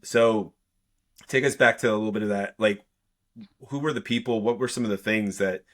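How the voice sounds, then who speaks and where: Man, podcast host, very deep voice, affirming, conversational. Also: normal speed, fairly bright tone. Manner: affirming, asks follow-up questions. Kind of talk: conversational interview.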